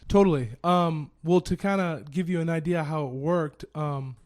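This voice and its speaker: A man in his thirties, a business owner guest telling his story in a casual, animated way and often repeating phrases. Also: fairly high pitch, moderate pace. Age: 30s. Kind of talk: casual conversation.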